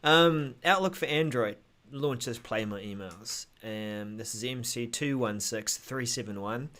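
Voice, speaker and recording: A man co-hosting a tech show in a casual, halting way, thinking aloud as he explains updates. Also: medium pitch, a bit bright. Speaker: show host.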